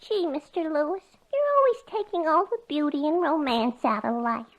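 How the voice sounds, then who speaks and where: A woman's voice: high-pitched, animated, normal speed. A woman playing a naive, chatty, muddled young secretary in old-time radio comedy. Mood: innocent. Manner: naive, earnest malapropisms. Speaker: comedy sketch actress. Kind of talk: 1940s-50s radio comedy acting.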